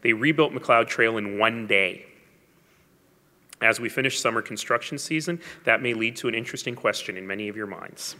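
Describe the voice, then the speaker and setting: A man's voice: fairly low pitch, pushed and bright. A man giving a public speech as a mayor, telling stories with wry asides and emphasis. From Canada. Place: large hall. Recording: long hall reverb.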